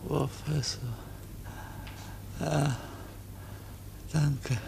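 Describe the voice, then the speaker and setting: Dying young man, creaking, very slow. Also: a little high, little pitch movement, heavily acted. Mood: weak, forgiving. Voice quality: creaky, faint, halting speech. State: dying, exhausted, feverish. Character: dying feverish young man, forgiving, weary. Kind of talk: 1950s German radio drama acting. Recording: noisy vintage radio recording.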